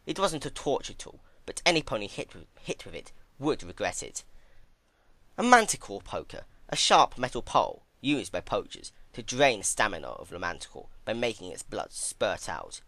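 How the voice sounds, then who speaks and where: Animated, low-voiced man narrating a dark story. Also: normal speed, no room sound. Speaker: narrator, fan fiction reader. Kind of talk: dramatic scripted narration.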